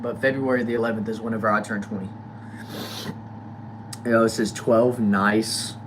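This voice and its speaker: A man's voice: on the low side, fairly even intonation, normal speed, a bit bright, a little hiss or hum. Laid-back nineteen-year-old man reading chat. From United States. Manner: reads comments aloud, drawls, greets viewers loosely. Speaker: livestream host reading chat. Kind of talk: casual livestream chat.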